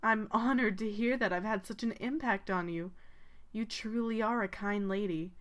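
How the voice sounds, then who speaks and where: A woman's voice: mid-range voice, moderate pace, close to an everyday voice. A woman playing a kind, devoted knight and older-sisterly protector, formal and reassuring, in a video game fandub. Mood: gracious.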